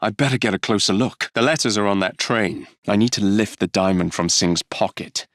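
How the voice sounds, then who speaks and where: An actor with an English accent playing a cocky, roguish young Victorian gang leader in a video game, with a cheeky, wry, theatrical manner. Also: male voice, fairly low pitch, normal speed, a bit bright, no room sound, studio quality. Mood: focused, brisk.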